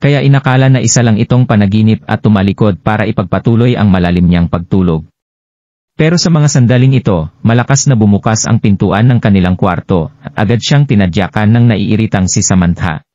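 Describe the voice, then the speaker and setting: A man's voice: lowish voice, fairly quick, mellow. A Filipino man narrating a scripted, dramatized story as its storyteller. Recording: dull lo-fi, roomy echo.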